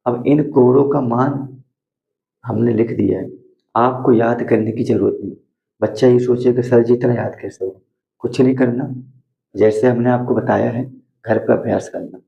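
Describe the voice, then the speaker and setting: Hoarse male math teacher, gravelly. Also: medium pitch, steady tone, moderate pace, highs cut off. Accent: Hindi. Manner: repetitive, asks students questions and answers them himself. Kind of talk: board lesson for school students.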